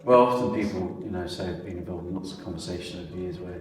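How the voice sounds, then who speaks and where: A man's voice: sits low, quick pace, a little mellow. A man hosting an interview, talking in a meandering way with plenty of fillers. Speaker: podcast host. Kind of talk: conversational interview. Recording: echoey room with faint music.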